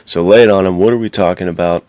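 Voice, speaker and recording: A man's voice: low voice, steady tone, fast, darkish tone, no echo. A man co-hosting a podcast in casual, slangy, enthusiastic chat. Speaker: podcast co-host.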